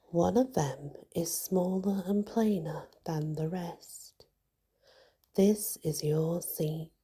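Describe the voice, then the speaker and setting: A woman softly whispering a scripted guided meditation in a hushed, soothing ASMR style, with many pauses. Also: fairly low pitch. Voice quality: soft, breathy, mostly whispered.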